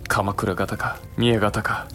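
A man's voice: fairly low pitch, little pitch movement, slow, fairly bright tone, faint music behind. An actor playing an earnest, anxious samurai younger brother and advisor in a Japanese period audio drama, formal and deferential in his questioning. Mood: awed, grave.

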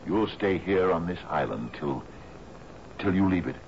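An actor playing a troubled, grieving sea captain in a 1970s radio drama, speaking formally and haltingly. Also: male voice, low voice, lively intonation, darkish tone. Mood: tender, solemn. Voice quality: gravelly. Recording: muffled, noisy old radio broadcast.

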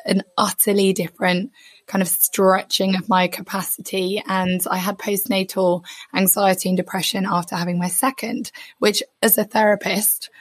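A hoarse woman, a psychotherapist, speaking reflectively and candidly as a podcast guest. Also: mid-range voice, level tone, moderate pace, no echo. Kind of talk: casual interview.

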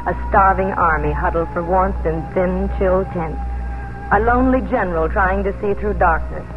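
A woman's voice: fairly low pitch, moderate pace, lightly acted. A woman playing an earnest, brave colonial mistress in a radio drama, telling her story with formal, dramatic diction. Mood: grave, suspenseful. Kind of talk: 1950s radio drama acting and narration. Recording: muffled 1950s radio recording, music behind.

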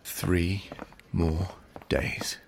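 An actor playing an earnest, persistent investigator in an audio drama, reasoning in a measured but urgent way. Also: male voice, low voice, little pitch movement, vocal fry, slight background noise, full character voice. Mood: dry, urgent.

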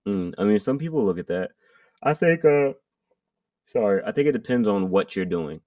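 Low-voiced rapper, loose and rambling. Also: male voice, animated, normal speed, dark tone, no echo, recorded from a distance. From Jamaican heritage. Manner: loose, rambling, many fillers and restarts. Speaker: guest rapper. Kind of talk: casual interview chat.

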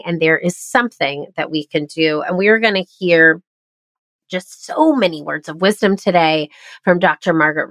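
A woman's voice: mid-range voice, a bit bright, no room sound. A woman hosting a podcast interview, her voice nasal and congested after the flu, talking in an upbeat, enthusiastic way. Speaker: podcast host.

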